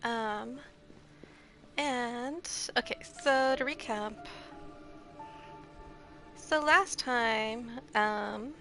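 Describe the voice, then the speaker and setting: Soft-spoken, sleepy woman streamer. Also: a little high. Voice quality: soft, quiet voice. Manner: holds back volume, chatty with nervous laughs. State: a little sleepy. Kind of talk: casual gameplay commentary. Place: home room, shared house.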